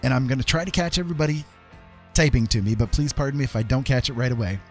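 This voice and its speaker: A man's voice: mid-range voice, fast, faint music behind. A man casually streaming a digital painting tutorial, rambling and thinking aloud as he works.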